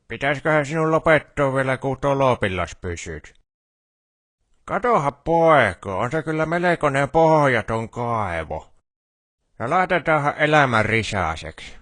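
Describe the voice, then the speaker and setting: A man's voice: medium pitch, fairly bright tone, no room sound, clean studio sound. A man voicing a grumbly, teasing village shopkeeper in a video game, with a northern Finnish dialect and a drawling, hesitant delivery. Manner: folksy, drawling, hesitant stammered starts. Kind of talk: video game character dialogue.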